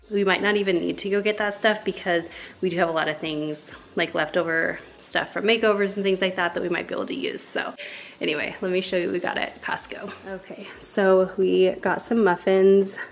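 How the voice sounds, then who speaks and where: A woman's voice: on the low side, fairly even intonation, brisk, creaky. A young woman chatting casually to her handheld camera in her kitchen, full of little fillers as she talks. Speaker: family vlogger. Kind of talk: casual vlog chat. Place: home kitchen, indoors. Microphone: handheld camera at arm's length.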